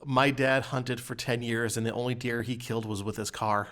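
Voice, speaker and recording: A man's voice: mid-range voice, steady tone, moderate pace, a bit bright. A man hosting a casual podcast, chatting in a joking, sardonic way. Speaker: podcast host. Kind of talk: casual chat.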